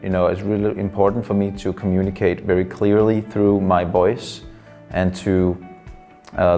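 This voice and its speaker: A Danish man in his twenties, a psychology student and counselor, giving thoughtful interview answers in English as a second language, often pausing with fillers. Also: low-pitched, vocal fry, a little mellow. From Denmark. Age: 20s.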